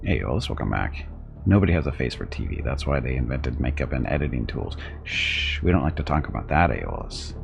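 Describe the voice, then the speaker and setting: A middle-aged man with a deep voice streaming a game, chatting casually and reading on-screen text aloud in a rambling, self-deprecating way. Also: big pitch swings, brisk, creaky, soft background music. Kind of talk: casual let's-play commentary.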